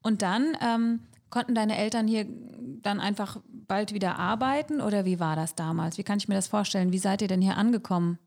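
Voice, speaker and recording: A woman's voice: medium pitch, a little fast, hardly any pauses, a little mellow. A woman co-hosting an interview, casually asking curious follow-up questions. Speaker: podcast host.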